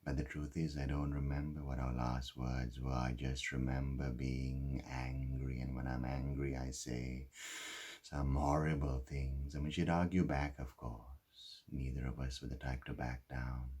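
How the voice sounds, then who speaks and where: A very low-voiced husband weighed down by guilt, played by a man in a dramatic stage monologue. He confesses slowly and haltingly, leaving heavy pauses between phrases. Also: level tone, a little mellow. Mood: regretful, subdued. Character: guilt-ridden husband who caused a fatal drunk-driving crash.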